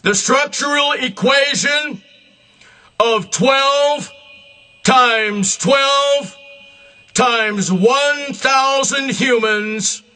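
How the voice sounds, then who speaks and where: A man delivering a solemn, scripted religious address in a grandiose, emphatic manner, in deliberate short phrases. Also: very high-pitched, sharp tone. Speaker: self-proclaimed religious leader.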